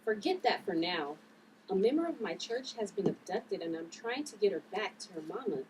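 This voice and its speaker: A woman's voice: mid-range voice, expressive, moderate pace, natural voice. An earnest, principled woman with a mid-range voice, played by a woman at a table read. She sounds a little distant from the mic, with light noise around her. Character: earnest, principled church-going woman turned masked vigilante. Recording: distant mic, noisy room.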